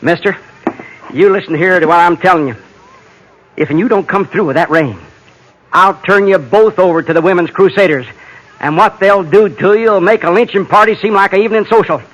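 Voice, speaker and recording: An actor playing a blustering, suspicious small-town sheriff with a rural American accent in an old radio western. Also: male voice, high voice, a little fast, fairly bright tone, slight background noise, poor audio. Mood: threatening. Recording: vintage 1950s radio recording.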